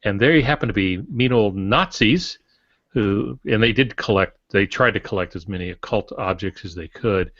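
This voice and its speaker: An older man explaining things in a halting, digressive way as a co-host in a panel discussion. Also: lowish voice, fairly bright tone. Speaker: podcast co-host, writing instructor. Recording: muffled, roomy lo-fi podcast audio.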